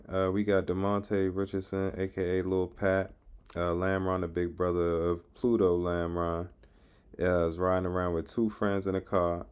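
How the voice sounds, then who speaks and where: A man's voice: sits low, darkish tone, clear, resonant tone. An American man hosting a channel, casually commenting and reading documents aloud with frequent hesitations and street slang. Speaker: YouTube channel host.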